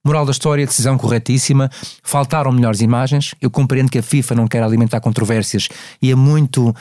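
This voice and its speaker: A man's voice: mid-range voice, fairly even intonation, fast, dark tone, dry recording, studio quality. A man with a European Portuguese accent analysing refereeing decisions conversationally, didactic, posing and answering his own questions.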